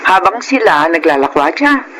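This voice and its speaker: A woman playing a worried, protective middle-aged mother in a Filipino radio drama, emphatic and gently scolding. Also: on the low side, sing-song, normal speed, a little hiss or hum, poor audio. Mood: scolding, concerned. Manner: emphatic, fretful.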